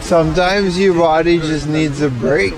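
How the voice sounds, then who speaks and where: Slow-talking laid-back man vlogging. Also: on the high side, music in the background, rough recording. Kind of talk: casual rambling chat.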